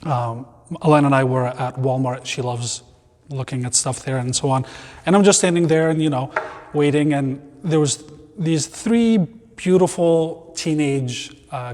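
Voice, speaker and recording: A man's voice: mid-range voice, normal speed, a little mellow. Young guy born in syria, earnest and thoughtful, restarts a lot, long hall echo. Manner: reflective, earnest, with frequent restarts. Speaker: church member, guest. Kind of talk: conversational interview.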